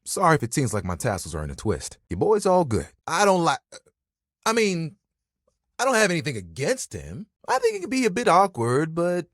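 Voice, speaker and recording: A man's voice: sits high, dry recording, clean studio sound, heavily acted. An actor voicing a talking bath towel in a dating video game, a bashful, eager young guy who rambles casually and self-consciously in puns. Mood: earnest, awkward. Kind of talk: dating game character dialogue.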